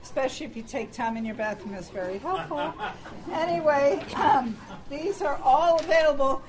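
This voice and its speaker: A woman with a very high voice makes informal announcements as she hands out a door prize. She is brisk, practical and a little playful. A restaurant crowd is clearly heard around her. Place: club luncheon in a restaurant. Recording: clear crowd chatter behind.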